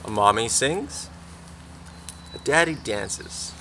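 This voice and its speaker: Slow, animated young man reading aloud. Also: mid-range voice. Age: young adult. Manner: expressive, deliberate, pausing between phrases. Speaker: teacher reading picture books. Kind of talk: children's story read-aloud. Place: outdoors in a fenced backyard garden. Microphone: camera a few feet away. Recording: faint steady outdoor background noise.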